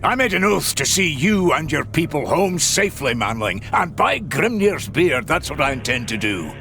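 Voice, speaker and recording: A man voicing a gruff, stubborn, oath-bound male dwarf warrior in a video game cutscene, blunt and curt. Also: fairly high pitch, sing-song, normal speed, music in the background. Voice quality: gravelly.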